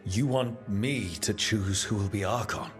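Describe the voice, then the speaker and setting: Actor as male adventurer hero, lowish voice, sincere, questioning, fantasy game, light music behind. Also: unhurried, lightly acted. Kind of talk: dramatic fantasy game acting. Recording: music under the voice.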